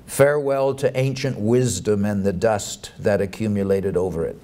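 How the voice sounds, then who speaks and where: A middle-aged man reads a formal academic lecture aloud in a measured, deliberate way at a lectern microphone. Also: lowish voice, steady tone, mellow. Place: indoor conference hall, at a lectern. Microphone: boom microphone on the lectern.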